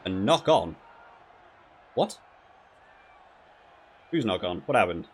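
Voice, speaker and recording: A man giving casual, critical gameplay commentary, thinking aloud in a halting way and repeating words as he goes. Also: deep voice, sing-song, breathy edge, a faint crowd. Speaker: gaming video creator reviewing while playing.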